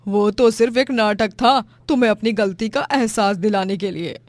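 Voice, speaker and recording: A woman's voice: mid-range voice, normal speed, a little mellow, dry recording, close to an everyday voice. A woman playing a maternal, emphatic middle-aged mother-in-law in a cartoon moral story. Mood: explaining. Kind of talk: animated moral-story dramatisation.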